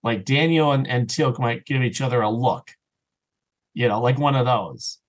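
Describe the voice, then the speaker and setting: A man chatting casually and enthusiastically as an interview guest, rambling and animated, sometimes acting out bits of dialogue. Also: medium pitch, moderate pace. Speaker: interview guest, audio drama writer and actor.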